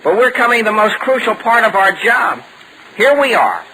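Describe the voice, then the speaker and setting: A man's voice: sits high, big pitch swings, moderate pace, sharp tone, exaggerated voice. An actor playing a hesitant, gullible teenage boy in a 1940s radio comedy, stammering and querulous. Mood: doubtful. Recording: noisy vintage 1940s radio recording.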